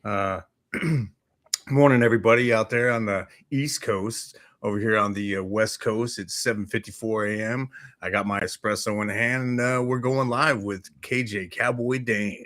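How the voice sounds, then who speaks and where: A man's voice: on the low side, fairly even intonation, normal speed, fairly bright tone. A man co-hosting a morning talk show, chatting casually in an easygoing, friendly way.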